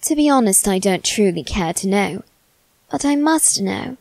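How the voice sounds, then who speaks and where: A woman's voice: medium pitch, animated, normal speed, gravelly, darkish tone, no room sound. A woman playing a teasing, haughty young male demon servant in a theatrical, mock-polite ASMR roleplay. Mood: impatient, coaxing. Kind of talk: ASMR character roleplay addressed to the listener.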